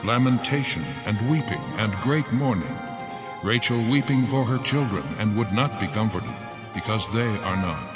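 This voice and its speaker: A man's voice: fairly low pitch, expressive, moderate pace, lightly acted. Man as a grave prophet over music. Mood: solemn. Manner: solemn, reciting. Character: grave prophet reciting ancient scripture. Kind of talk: dramatized scripture acting.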